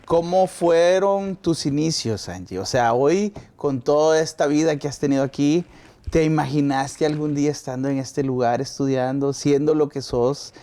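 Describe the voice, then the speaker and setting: A woman's voice: low-pitched, moderate pace, dark tone. A woman hosting a podcast who jokes and teases the guest in a casual interview chat. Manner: joking, playful, teasing. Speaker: podcast host.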